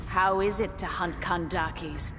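An actress playing a savage, vengeful huntress serving a goddess in a video game, speaking with menace. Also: female voice, on the low side, fairly even intonation, measured pace, over music, lightly acted. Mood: menacing.

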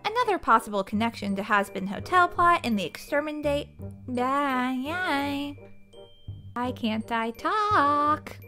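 High-pitched woman narrating at a measured pace. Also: fairly bright tone. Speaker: video narrator presenting episode facts. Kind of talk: scripted narration with flubbed outtakes.

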